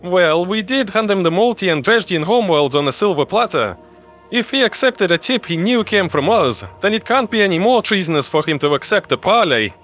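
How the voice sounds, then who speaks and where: A man's voice: high-pitched, animated, fairly quick, fairly bright tone, soft background music, voice pushed up. A man voicing a shrewd, wry human male strategist in a sci-fi audio story, probing as he speaks.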